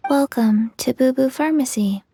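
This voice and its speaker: A woman voicing a blank, literal little zombie girl in a video game, speaking in a deadpan, halting way. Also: high voice, vocal fry, breathy edge, dry recording, studio quality, full character voice. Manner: deadpan, halting, third-person self-reference.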